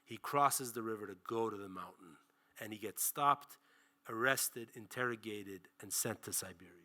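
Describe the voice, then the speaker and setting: A man's voice: on the low side, moderate pace, sharp tone. A man in his forties giving a passionate public film lecture, speaking emphatically and rhetorically, stressing key words in repeated phrases and lists. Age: 40s. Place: library auditorium, speaking to an audience.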